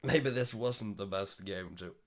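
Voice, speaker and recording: Casting: male gaming host, lowish voice, unhurried casual commentary, a bit under the weather. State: recovering from sickness, coughing. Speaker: host playing visual novels. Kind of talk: casual game playthrough commentary.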